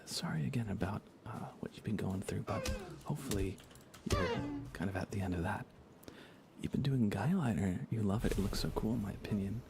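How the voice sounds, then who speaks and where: A man with a very low, fry-laden voice chats quietly on an ASMR gaming livestream. He keeps things hushed, often slipping toward a whisper, and wanders through his thoughts unhurriedly. Steady noise sits clearly behind him. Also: fairly quick. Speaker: live streamer. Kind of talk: soft-spoken ASMR gaming livestream chat.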